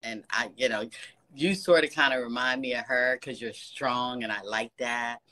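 A woman in her sixties talks into a phone held close, her voice bright and clear. She gushes and encourages in an easy, chatty way. The call comes from an office. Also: extremely low pitch, normal speed. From United States. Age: 60s. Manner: gushing, warm praise with frequent laughs. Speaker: fan on a video call. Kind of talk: casual chat. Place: office with ceiling lights. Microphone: phone camera held close.

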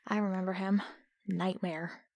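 A woman with a husky, breathy voice playing a wounded, reproachful captive in an audio roleplay drama. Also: medium pitch, flat delivery, unhurried, darkish tone. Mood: grim recollection.

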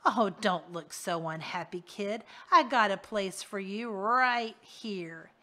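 A woman voicing a sly, boastful male henchman who taunts and wheedles in a raised, brightened voice. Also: medium pitch, takes it slowly. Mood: taunting.

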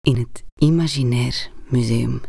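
A woman narrating an audio play for children and families, playfully speaking straight to the listener with deliberate pauses. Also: extremely low pitch, vocal fry, warm tone, slightly rough, dry recording, clean studio sound.

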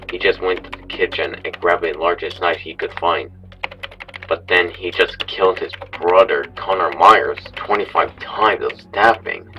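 Distant and noisy, this is a man acting as an anxious male storyteller in a horror audio drama. His voice is low, halting and weary, with grim earnestness. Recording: distant, muffled, noisy with keyboard clatter.

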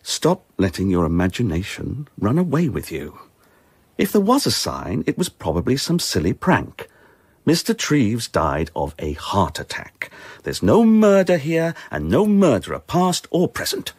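Brusque, animated British police inspector. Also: male voice, mid-range voice, slightly rough, no echo, exaggerated voice. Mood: brisk, dismissive. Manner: brisk, patronising, sceptical. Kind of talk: British radio drama acting.

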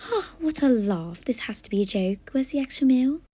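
A woman's voice: sits high, lively intonation, moderate pace, warm tone, pitched above the performer's own voice. A woman voicing a haughty, scathing female food critic who talks dramatically and breathily, calling people darling. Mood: scornful.

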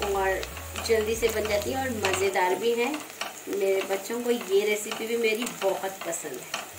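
Woman explaining a recipe in her kitchen, pan sizzling loud behind her, echoey. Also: medium pitch. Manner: gentle, instructive, conversational. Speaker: home cook. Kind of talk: cooking demonstration voice-over. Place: home kitchen. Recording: roomy kitchen, frying noise. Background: sizzling frying pan.

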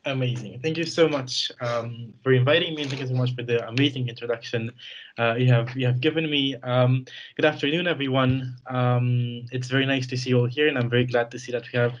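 A young man from Romania presents over a video call, in a voice of ordinary pitch. He talks in an earnest way, with many fillers, and repeats words as he thinks. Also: fairly even intonation, a little fast, lo-fi sound, a little hiss or hum. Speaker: guest speaker. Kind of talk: webinar presentation.

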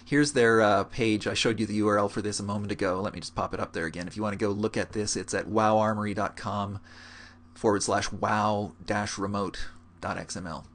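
Low-voiced man explaining a screencast. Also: a little fast. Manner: relaxed, explanatory, with many fillers. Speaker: game gold-making guide. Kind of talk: screencast walkthrough.

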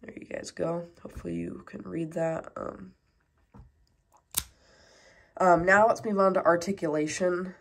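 A woman's voice: fairly low pitch, fairly even intonation, a little slow, gravelly, pauses often. A woman with a stuffed-up cold casually reviewing a toy, rambling with many fillers, recorded at a tabletop on a camera mic. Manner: rambling, many fillers, talking while handling toys.

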